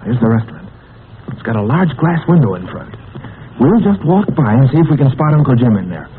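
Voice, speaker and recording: An actor playing a brave teenage adventurer who takes charge, in a 1940s radio serial on a tinny, hissy old recording. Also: male voice, medium pitch, sing-song, natural voice. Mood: hushed. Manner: brisk and take-charge.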